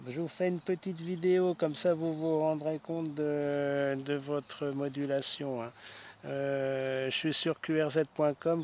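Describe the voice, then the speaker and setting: A man's voice: medium pitch, muffled recording. A man chatting casually as an amateur radio operator outdoors in a wood, speaking in short, choppy phrases. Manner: choppy phrases with frequent tag words. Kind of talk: ham radio contact, casual chat. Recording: faint outdoor background noise.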